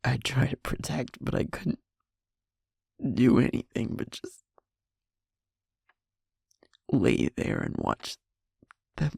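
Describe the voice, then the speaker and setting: A young man playing a sweet, wounded, guilt-ridden werewolf boyfriend in an intimate audio roleplay, speaking in a husky, halting, emotional near-whisper. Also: mid-range voice, wildly swooping pitch, no echo, studio quality, heavily acted. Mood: anguished, guilt-ridden. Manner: halting, emotional, softly pleading.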